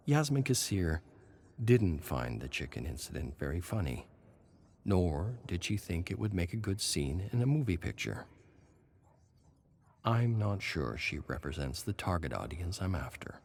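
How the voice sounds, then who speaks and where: Man as a deep-voiced, wry survivor diarist. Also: creaky, a little mellow, a little hiss or hum, heavily acted. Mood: droll. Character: wry would-be filmmaker writing a survivor's journal. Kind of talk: dramatic reading of a game journal.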